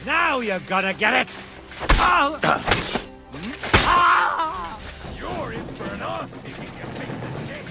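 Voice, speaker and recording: Shrill, gravelly, aggressive brawler. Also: male voice, expressive, slow pace, long pauses, no echo, soft background music, heavily acted. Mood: furious. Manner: shouted taunts. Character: aggressive, foul-mouthed male brawler in combat. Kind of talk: video game combat barks.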